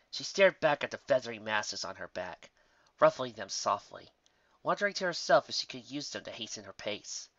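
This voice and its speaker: A man's voice: mid-range voice, gravelly, fairly bright tone, no room sound, lo-fi sound. A man reading a scripted story aloud as its narrator. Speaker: narrator reading fan fiction.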